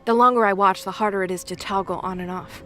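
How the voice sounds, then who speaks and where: A woman playing a solemn, wary young seer girl in an audio mythology drama, measured, earnest and deadpan. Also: mid-range voice, faint music behind, lightly acted.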